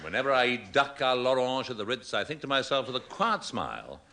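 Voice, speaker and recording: Lively, mock-solemn old sailor. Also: male voice, mid-range voice, brisk. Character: old sailor solemnly recounting his sea chronicle. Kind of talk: 1960s radio comedy narration. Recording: very noisy 1960s radio broadcast.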